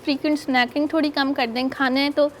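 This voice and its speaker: A woman's voice: high voice, flat delivery, fast, a little hiss or hum. A woman dentist, explaining patiently on a studio morning show and slipping English phrases into her Urdu. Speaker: dentist guest.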